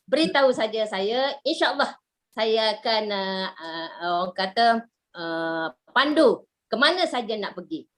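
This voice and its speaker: A retired Malaysian woman in her sixties speaks very slowly and haltingly, with many fillers. She has a Malaysian Malay accent and a medium voice. She is heard over a video call. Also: medium pitch, expressive, fairly bright tone. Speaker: member introducing herself. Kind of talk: online group video call.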